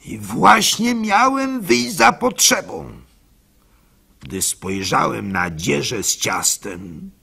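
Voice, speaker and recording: Man, dramatic storyteller, gravelly and husky, theatrical, unhurried, first-person literary narration. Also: on the high side. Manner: theatrical, expressive, varied in intensity.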